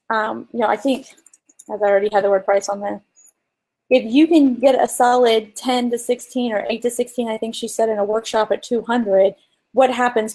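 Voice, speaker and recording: A woman's voice: on the high side, darkish tone, rough recording. A woman co-hosting a casual business coaching chat, talking informally and emphatically and explaining with examples. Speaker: co-host and marketing coach.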